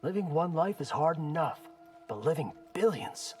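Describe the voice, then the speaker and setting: An actor playing a defiant, skeptical young warrior in a video game, with a blunt, casual, challenging delivery. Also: male voice, a little high, animated, normal speed, a little mellow, natural voice. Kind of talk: video game character dialogue. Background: game music.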